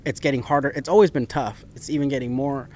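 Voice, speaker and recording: Young guest caddie, easygoing and chatty, faint room noise behind. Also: male voice, medium pitch, fast. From Philippines. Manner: relaxed, talks in loose run-on phrases with fillers. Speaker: PGA Tour caddie, guest. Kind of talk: casual interview answers.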